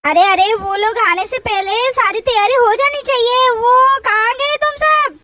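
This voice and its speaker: A woman's voice: very high-pitched, a little slow, pushed and bright, exaggerated voice. A woman voicing an anxious, doting mother who fusses and exclaims as she hurries her daughters along in a homemade family story. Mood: fretting, impatient. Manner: fussing, exclaiming, affectionate. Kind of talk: amateur play-acted family story.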